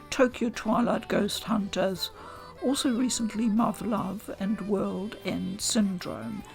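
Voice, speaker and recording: A woman reading a scripted game review voice-over in a measured, articulate and deliberate way. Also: medium pitch, creaky, rough recording. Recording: home voice-over with music underneath. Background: game music.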